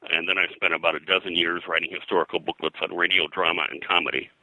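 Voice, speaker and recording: An older man reminiscing as an interview guest, in a halting, discursive way with detailed lists. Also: deep voice, flat delivery, bright, forceful tone, no echo, rough recording. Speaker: interview guest, veteran comics colorist.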